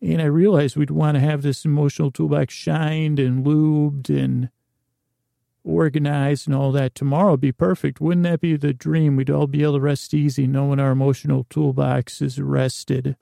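A man hosting a podcast with a rambling, comedic bedtime monologue, meandering and halting as he restarts sentences and voices imagined dialogue, in a gravelly voice. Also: fairly high pitch, little pitch movement, warm tone, no echo, studio quality. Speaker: podcast host.

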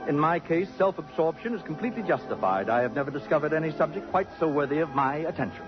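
An actor playing a vain, acid-tongued middle-aged columnist with pompous, precise diction in a 1950s radio drama. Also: male voice, a little high, expressive, fast, over music, natural voice. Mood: haughty, biting. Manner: pompous, precise, sardonic, elaborate phrasing. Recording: muffled, noisy 1950s radio broadcast.

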